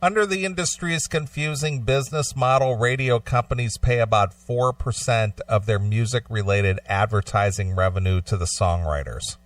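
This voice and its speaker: A man's voice: on the low side, normal speed, hardly any pauses, no room sound, recorded from a distance. A man co-hosting a radio talk show, reading out news items and adding casual commentary.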